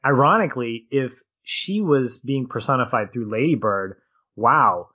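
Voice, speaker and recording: Man, from Arkansas, casual film talk, animated, middle pitch, slightly muffled audio. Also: measured pace, slightly rough. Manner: animated, laughs easily, restarts sentences.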